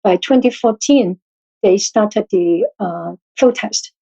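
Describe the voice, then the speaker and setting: A woman's voice: mid-range voice, slow, vocal fry, warm tone. A woman whose first language is Chinese, speaking as a podcast guest and often stopping to search for words. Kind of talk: podcast interview. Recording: dull, slightly muffled audio.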